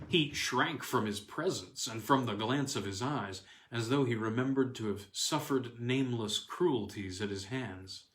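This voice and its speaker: A man's voice: mid-range voice, a little slow, hardly any pauses, fairly bright tone. Man narrating in an echoey room. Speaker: audiobook reader. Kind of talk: scripted narration of a weird tale. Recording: roomy, echoing sound.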